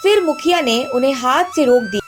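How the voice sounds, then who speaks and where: A woman's voice: sits high, normal speed. A woman narrating a scripted cartoon story as the storyteller. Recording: faint background music.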